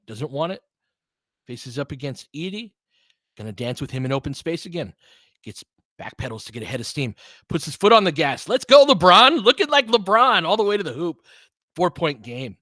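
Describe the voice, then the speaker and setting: A man's voice: medium pitch, pushed and bright, no room sound, clean studio sound. A man doing casual live basketball play-by-play and analysis, conversational and openly rooting for his team with exclamations on big plays.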